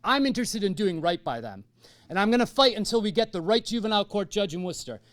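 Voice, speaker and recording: A man's voice: sits high, very animated, fast talker, a bit bright, no echo, clean studio sound. A man running for office, giving an impassioned and determined campaign speech. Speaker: candidate for elected office. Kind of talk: stump speech at a candidates night.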